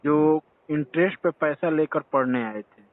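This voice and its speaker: A man's voice: a little high, a little slow. A man phoning in a complaint, deferential and earnest, calling the listener sir again and again. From Bihar, India. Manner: deferential, says sir constantly, earnest and urgent. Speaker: caller reporting a complaint. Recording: tinny phone line.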